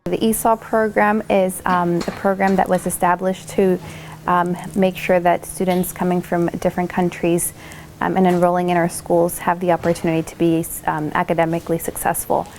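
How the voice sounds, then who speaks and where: A woman who coordinates a school program, explaining it in an interview in a measured way with fillers. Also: fairly low pitch, level tone, a little mellow, slight background noise.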